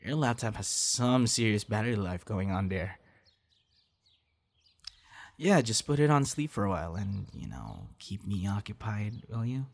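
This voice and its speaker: A man playing a low, gravelly, friendly young guy. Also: sing-song, a little slow, heavily acted. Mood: friendly, teasing. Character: friendly, shy young male budding voice actor meeting stranger. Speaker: audio roleplay performer. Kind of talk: ASMR roleplay, casual one-sided conversation.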